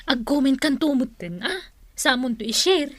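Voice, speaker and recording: A woman's voice: sits high, animated, normal speed, fairly bright tone, dry recording. An actress playing a bossy, vain woman in a radio comedy drama, insistent and nagging. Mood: coaxing, pleased. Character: bossy, vain woman hooked on Facebook likes.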